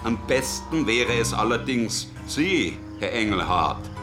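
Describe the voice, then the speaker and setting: An actor playing a firm, formal colonial official setting out terms in a radio drama. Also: male voice, mid-range voice, big pitch swings, takes it slowly, over music. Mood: officious.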